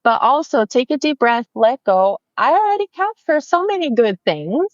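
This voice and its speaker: A woman's voice: high-pitched, big pitch swings, normal speed, no room sound, highs cut off. A woman from Brazil with a Brazilian accent, chatting in an interview about her photography work, friendly and full of fillers and restarts. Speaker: family photographer, guest. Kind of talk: casual interview chat.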